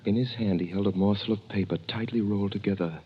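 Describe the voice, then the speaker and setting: A man's voice: lowish voice, steady tone, fast talker, breathy edge. An actor playing a young French prisoner who tells his story with dramatic earnestness in an old radio drama. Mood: grave. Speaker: narrator. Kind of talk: 1930s radio drama narration. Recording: dull old radio recording with music.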